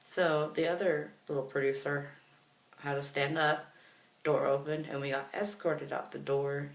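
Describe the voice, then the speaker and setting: A man's voice: a little high, vocal fry, fairly bright tone. A man telling a personal story in a casual, chatty vlog style, acting out what other people said as he goes. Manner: chatty, reenacts others' words. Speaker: vlogger. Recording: roomy, echoey room sound.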